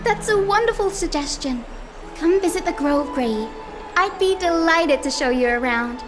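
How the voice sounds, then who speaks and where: An actress voicing a sweet, eager young lady healer in a video game, with a gentle, polite, bubbly manner. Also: high voice, lively intonation, normal speed. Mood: pleased, welcoming. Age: young adult. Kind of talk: scripted video game dialogue. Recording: music clearly behind the voice.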